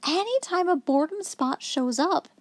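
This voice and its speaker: A woman with a high voice reads a picture book aloud to young children. Her intonation is very lively, rising and falling as she explains things in an instructive storyteller's way. The sound is dry and close, with little room in it. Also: normal speed, no room sound. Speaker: children's story reader, host.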